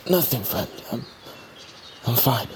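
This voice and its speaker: A man acting in audio fiction as an anxious, loyal friend, excitable and nervy with casual phrasing. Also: medium pitch, takes it slowly, slight background noise. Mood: weary. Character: anxious, loyal male friend on perilous journey.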